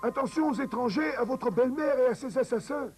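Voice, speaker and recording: A very high-pitched, grumpy little dwarf boss in a children's cartoon. He scolds and gives orders like a weary foreman, with music playing behind him. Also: brisk, a little mellow. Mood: gruffly formal. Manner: commanding, scolding. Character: bossy grumpy dwarf leader with an aching back. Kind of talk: dubbed children's cartoon acting.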